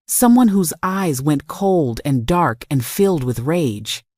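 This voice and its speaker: A woman with a low voice delivers scripted, dramatic first-person narration. Her manner is confessional, leaning on short emphatic phrases. The recording is dry and close. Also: lively intonation, slow, a little mellow, no room sound. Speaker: story narrator.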